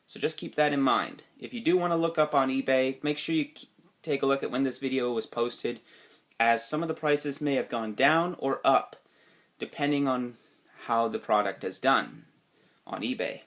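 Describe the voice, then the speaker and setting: A man with a slightly sniffly voice from a recent cold hosts his own casual video. He talks loosely and unscripted, restarting sentences and filling pauses with "uh" and "um.". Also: medium pitch, a bit bright. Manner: rambling, many fillers and restarts. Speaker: video game collector vlogger.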